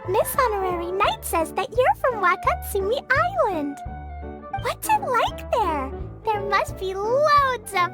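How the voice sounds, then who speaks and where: A woman's voice: very high voice, sing-song, measured pace, heavily acted. A woman voicing a sweet, innocent little girl mage in a video game, childlike, eager and earnest. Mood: eager, curious. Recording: loud jingly music behind the voice.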